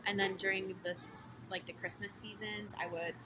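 Relaxed young woman baker, filler-heavy talk. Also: mid-range voice, normal speed. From Costa Mesa, California. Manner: relaxed, many fillers like "you know" and "like". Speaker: small business owner, baker. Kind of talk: casual interview guest. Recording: noisy background.

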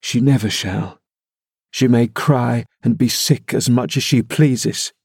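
A man's voice: medium pitch, slow pace, vocal fry, a little mellow, slightly rough, dry recording, studio quality, heavily acted. A man voicing a sickly, spoiled young man who sulks and whines. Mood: petulant. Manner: whiny, sulky.

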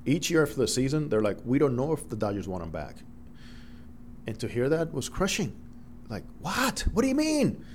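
Animated Dominican-born man telling stories. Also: mid-range voice, moderate pace, slight background noise. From Dominican Republic. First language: Spanish. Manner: animated storyteller, acts out quoted voices. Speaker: broadcaster, guest. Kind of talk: storytelling interview.

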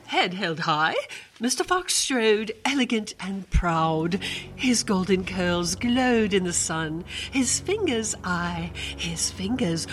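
Slow, very expressive woman storyteller. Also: mid-range voice, slight background noise. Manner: theatrical, expressive, savouring words. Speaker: narrator. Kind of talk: dramatic storytelling narration in a radio drama. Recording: music behind the voice.

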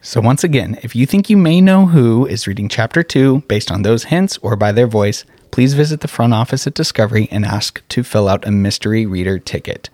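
A man's voice: medium pitch, lively intonation, a little fast, hardly any pauses, darkish tone, dry recording, clean studio sound. A man speaking as a podcast host, giving scripted announcements.

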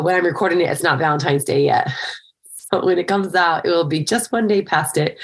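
A woman's voice: low voice, creaky. A middle-aged woman hosting her own podcast, talking casually and upbeat with a slight cold, chatty with fillers and small laughs. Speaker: podcast host and coach. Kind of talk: casual solo podcast talk.

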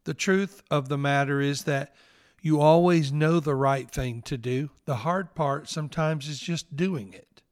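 A man in his fifties with a Southern accent, hosting a devotional podcast and speaking in an earnest, gently preaching way. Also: fairly high pitch, a little slow, no room sound, clean studio sound. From Northeast Mississippi, US.

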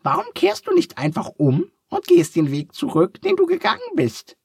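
A shrill, squeaky little duck lady quacks and bosses everyone around, as a man puts her on. Her voice leaps up and down with brisk, fussy energy. She sounds close and dry, like a storybook read-aloud. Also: wildly swooping pitch, creaky, darkish tone, dry recording, heavily acted. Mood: bossy. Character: chirpy bossy female duck, quacking and fussy.

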